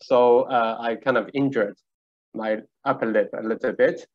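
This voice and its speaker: A man from Shanxi, China, whose first language is a local Chinese dialect, talking through a face mask on a video call, relaxed and gently joking, with many filler pauses. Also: mid-range voice, highs cut off. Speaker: guest speaker. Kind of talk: casual talk on a video call. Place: small room indoors. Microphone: video call with earphone mic.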